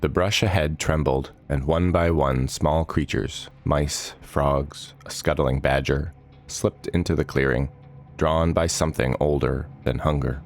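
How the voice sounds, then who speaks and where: Very deep-voiced male fantasy narrator. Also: steady tone, slight background noise. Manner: measured, solemn, with weighted short phrases. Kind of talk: dramatic scripted fantasy narration.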